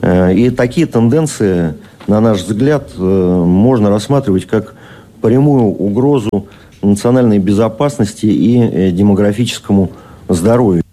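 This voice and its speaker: A man, a government official, delivering a formal bureaucratic address haltingly, hesitating between phrases. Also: fairly low pitch, warm tone. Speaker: government official giving a speech.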